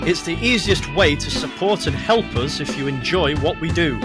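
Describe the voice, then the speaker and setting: A male announcer delivers scripted show credits. His voice is on the high side for a man, and loud music with plucked guitar plays behind him. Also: lively intonation, normal speed.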